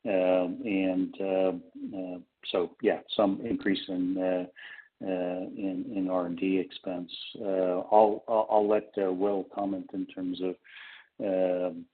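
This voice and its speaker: A male company executive answering questions on an earnings call in a halting, hesitant manner with broken phrasing. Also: sits low, little pitch movement. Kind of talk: unscripted business question-and-answer. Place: conference call line. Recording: muffled, band-limited conference call audio.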